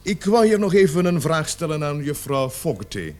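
An actor playing a stern, insistent police officer in a radio play, questioning formally and with authority. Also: male voice, on the high side, big pitch swings, moderate pace, faint music behind. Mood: probing. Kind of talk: Dutch radio play acting.

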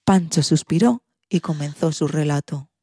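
Casting: female children's narrator, soft mellow timbre with a breathy husk, slightly low. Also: normal speed, no room sound, clean studio sound. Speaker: storyteller and presenter.

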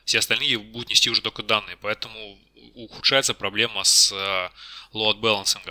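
Мужчина с очень ярким, напористым голосом. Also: male voice, fairly low pitch, steady tone, brisk, pushed and bright. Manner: self-deprecating. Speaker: job interview candidate. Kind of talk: casual technical talk.

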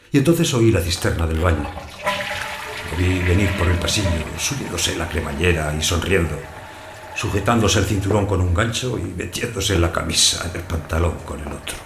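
An actor playing a wary householder who narrates his own story in a scripted audio drama, in a measured, observant way. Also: sits low, gravelly, slight background noise. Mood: brooding. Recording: echoey room sound, music behind.

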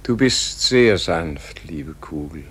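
A man playing a dying, serene Gandhi in a solemn radio play, speaking in a gentle, contemplative, weary manner. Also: low voice, animated, slow pace, slightly rough, full character voice.

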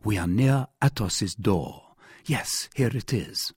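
Gallant young guardsman, as a man reads him, husky. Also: lowish voice, lively intonation, takes it slowly, darkish tone, no room sound, studio quality, full character voice. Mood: earnest, devoted. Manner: courtly, formal, earnest. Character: gallant, brave young guardsman, courteous and devoted. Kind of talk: audiobook character voice.

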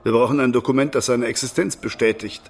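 An actor playing a scheming medieval chancellor in a radio play, giving orders with authority. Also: male voice, mid-range voice, fairly even intonation, fast, slightly rough. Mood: firm, demanding. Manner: authoritative, instructing. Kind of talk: German radio play acting.

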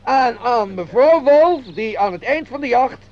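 A man's voice: extremely high pitch, lively intonation, unhurried. An actor playing a fussy, obsequious male company administrator who speaks formally and pompously in a radio play. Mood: pompous, ceremonious. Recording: dull lo-fi radio play recording.

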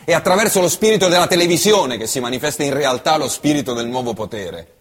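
Man, stage monologue, husky voice, impassioned, loud peaks and hushed drops, long theatre-hall reverb. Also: lively intonation, fast talker. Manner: impassioned declamation, swinging from loud outbursts to hushed low lines. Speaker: stage actor.

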